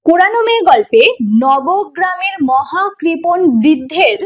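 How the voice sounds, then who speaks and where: A woman's voice: very high voice, lively intonation, measured pace. A woman teacher giving an explanatory online lesson in a didactic way, posing questions and answering them herself, and repeating key points. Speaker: teacher of an exam preparation course.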